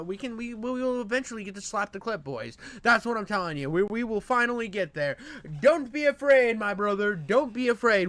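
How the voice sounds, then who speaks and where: A man's voice: sits high, fairly bright tone. A man giving casual, profane reaction commentary in a crude, slangy, rambling way. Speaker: reaction video host.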